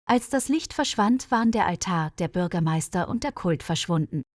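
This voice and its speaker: A woman's voice: on the low side, expressive, normal speed, no room sound. Woman storyteller, dry close studio sound. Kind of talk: scripted narration of a mystery story for German learners.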